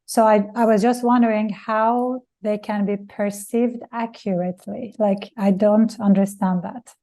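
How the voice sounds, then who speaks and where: A woman whose first language is Persian asks a question at a lecture. Her voice is mellow and mid-pitched. She speaks in a thoughtful, slightly halting way. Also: fairly even intonation. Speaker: audience questioner.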